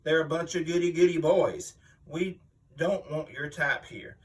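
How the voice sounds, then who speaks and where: A man's voice: fairly high pitch, unhurried, recorded from a distance, natural voice. A man playing a boastful, mean veteran wrestling villain, blustering and aggrieved as if giving an interview. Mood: aggrieved, menacing. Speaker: reader voicing an interviewed wrestler. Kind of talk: dramatic magazine interview reading, wrestling promo.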